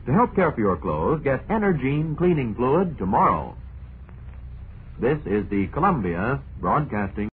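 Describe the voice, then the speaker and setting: Vintage commercial announcer: male, mid pitch, lively swooping delivery, tinny broadcast sound. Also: a little slow. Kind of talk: 1940s radio advertisement. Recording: tinny, noisy old radio broadcast.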